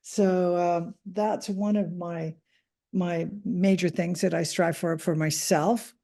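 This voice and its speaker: Canadian lady guest on a podcast, lowish mellow voice, lots of ums and restarts. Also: steady tone, takes it slowly, vocal fry. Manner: chatty, hesitant with many ums and restarts. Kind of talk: casual interview answers. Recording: audio podcast remote recording.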